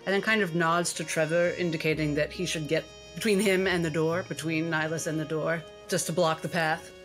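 A woman's voice: deep voice, steady tone, a little fast, faint music behind. A woman playing a tabletop roleplaying game, narrating what her character does. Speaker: player.

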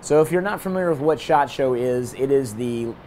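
A man in his thirties with a hoarse voice, hosting a casual gear review vlog from a hotel room and balcony. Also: medium pitch, a little fast, slight background noise. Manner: energetic, talks with his hands. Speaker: host.

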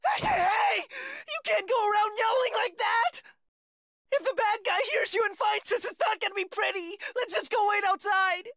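A man's voice: extremely high pitch, animated, normal speed, bright, forceful tone, dry recording, raised voice, exaggerated voice. A man voicing a cowardly, whiny teenage boy swordsman in an anime dub, tearful and strained, wailing and stammering. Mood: hysterical panic.

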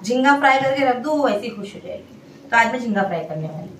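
A young woman talks casually to her viewers from her home kitchen, chatty and relaxed as she walks through her errands and cooking. Her voice sits a little high. A faint hiss of room noise runs underneath. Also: quick pace, reverberant, far from the mic, poor audio. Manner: chatty, talks to her baby in a sing-song coaxing way. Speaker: family vlogger. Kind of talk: casual home vlog chat.

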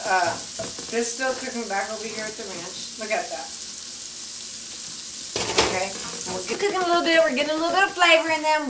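A woman's voice: mid-range voice, heavy background noise. A woman hosting a home cooking show, chatty and folksy, often checking in with "okay?" as she demonstrates, with pans sizzling behind her. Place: home kitchen. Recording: echoey kitchen, voice from far off, loud cooking clatter. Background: sizzling pans and clinking utensils.

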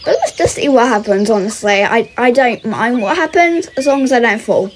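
A woman's voice: fairly high pitch, expressive, moderate pace. A young woman giving casual commentary as she rides a horse in a game, chatty and full of fillers, coaxing her horse along. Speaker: vlogger narrating a horse game. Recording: loud noise behind the voice.

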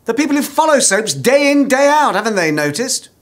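A man's voice: very high-pitched, big pitch swings, fairly quick, a bit bright, exaggerated voice. A man acting a baffled, exasperated, sweary middle-aged office worker in a naturalistic comedy drama. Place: small office.

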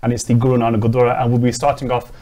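Male guest, mid-pitched, steady and a bit halting, studio interview. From England. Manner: steady, hesitant with frequent ums. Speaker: charity cycling volunteer guest.

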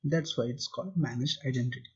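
A man's voice: medium pitch, fast, breathy edge. A man teaching a tutorial over slides and a screen demo, explaining step by step and asking and answering his own questions. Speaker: tutorial instructor. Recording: muffled lo-fi recording.

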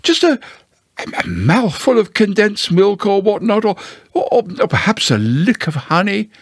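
A man voicing a small, humble, slow-witted storybook bear who hesitates and repeats his words in a polite, dreamy way. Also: high-pitched, very animated, breathy edge, no room sound, pitched above the performer's own voice, heavily acted. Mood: wistful. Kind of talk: storybook character voice.

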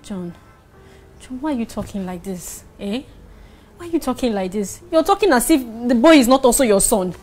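A woman's voice: fairly high pitch, lively intonation, normal speed, darkish tone, faint music behind. A woman with a Nigerian accent playing a weary, pleading wife in a screen drama, imploring and repeating herself. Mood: hurt, coaxing. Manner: imploring, repetitive.

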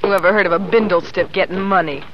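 A woman's voice: fairly low pitch, fairly even intonation, fast, lightly acted. A woman playing a cynical, wisecracking American working-class neighbour in a 1930s radio drama, slangy, sardonic and needling. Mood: scornful. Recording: muffled, hissy 1930s radio broadcast.

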